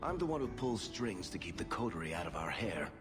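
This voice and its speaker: A low-voiced, roguish dwarf from a fantasy game. He tells things with sardonic, self-amused flair and swings his pitch freely, with music playing behind him. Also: big pitch swings, fairly quick. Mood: self-amused, breezy. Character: wry roguish male dwarf adventurer, charming younger son. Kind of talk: video game character dialogue.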